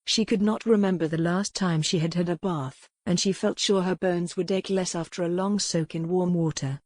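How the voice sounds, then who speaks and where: Female narrator for a historical romance audiobook, slightly low register, scripted storytelling, intimate dry sound. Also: fairly even intonation, normal speed, a little mellow, no room sound.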